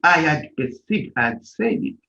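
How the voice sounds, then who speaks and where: A man's voice: a little high, expressive, moderate pace. Emphatic Zambian-born man giving a speech. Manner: emphatic, rising to near-shouts on key points. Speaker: organization leader giving a speech. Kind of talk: impassioned speech at a live-streamed event. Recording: dull lo-fi stream audio.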